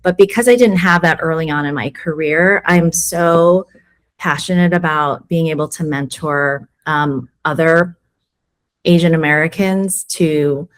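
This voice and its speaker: A woman's voice: low-pitched, unhurried. A woman in an interview, telling her own story frankly and acting out what people said to her. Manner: frank, animated storytelling with acted-out quotes. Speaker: sales executive guest. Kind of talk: candid interview conversation.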